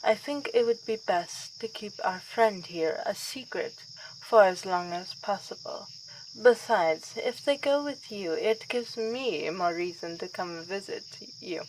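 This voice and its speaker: A woman's voice: mid-range voice, a little slow, a little hiss or hum, natural voice, rough recording. A woman playing a refined, wry vampire princess in an ASMR roleplay, with dry and politely formal delivery. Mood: playful, gracious.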